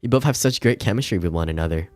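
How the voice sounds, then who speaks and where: A man voicing a polite, gentle young woman in a visual novel, courteous and soft-mannered. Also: deep voice, animated, rapid-fire. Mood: pleased. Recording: music behind the voice.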